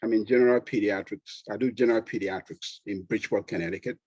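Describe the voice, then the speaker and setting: A middle-aged man from Nigeria with a Nigerian accent, a pediatrician guest talking relaxed, with fillers and easy laughs. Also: fairly low pitch, fast talker, breathy edge, no echo, dull audio. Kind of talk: conversational interview.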